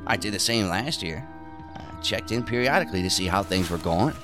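A man's voice: low voice, animated, brisk, music in the background. An actor playing a cynical, irritated son of a wealthy man in an audio drama, with a scornful, dismissive manner. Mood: guarded, wistful. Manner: scornful, dismissive, chuckling sarcasm. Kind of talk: naturalistic audio drama acting.

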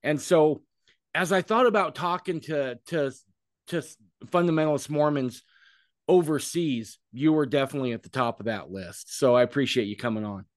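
Rambling higher-voiced male podcast host. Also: moderate pace, dry recording, studio quality. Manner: rambling, self-deprecating, repeats words while thinking. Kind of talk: casual interview chat.

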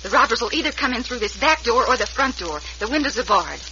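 A woman's voice: on the high side, expressive, quick pace, sharp tone. An actress playing a plucky young woman in an old-time radio western. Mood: brisk, helpful. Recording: noisy, dull old radio recording.

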